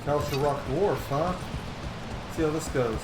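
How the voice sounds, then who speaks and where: A man's voice: fairly high pitch, a little slow, background noise. A man commenting casually on a game he is playing, informal and muttering his reactions. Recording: roomy echo, game music behind.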